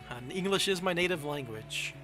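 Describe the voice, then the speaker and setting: A man's voice: a little high, pushed and bright. A man with a Southern American accent chatting casually as a game streamer, thinking aloud and questioning himself as he plays a card game. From southern United States. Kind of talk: casual livestream chat while playing a card game.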